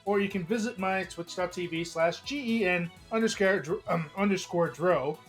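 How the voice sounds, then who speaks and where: A hoarse man streaming, reading a visual novel aloud in a casual, chatty way with small slips. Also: sits high, normal speed, soft background music. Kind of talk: narrating a visual novel live.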